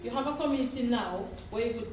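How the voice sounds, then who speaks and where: A woman's voice: fairly high pitch, fast, noisy background. Earnest woman, distant in an echoey room. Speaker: community member speaking at a gathering. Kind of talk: earnest group discussion.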